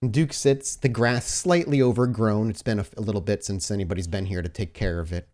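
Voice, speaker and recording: A man narrating a tabletop roleplaying game as game master, dramatic, with deliberate pauses. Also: lowish voice, a little mellow. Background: faint music.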